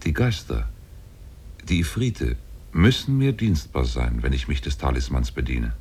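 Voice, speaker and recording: An actor playing a powerful, lovestruck and possessive demon in a 1950s German radio play, speaking solemnly. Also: male voice, sing-song, a little slow, mellow, heavily acted. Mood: boastful, solemn. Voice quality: very deep, husky.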